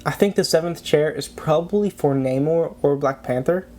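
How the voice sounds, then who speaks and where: A man with a stuffy, congested cold giving a low-energy scripted breakdown narration as a video host. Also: normal speed, warm tone.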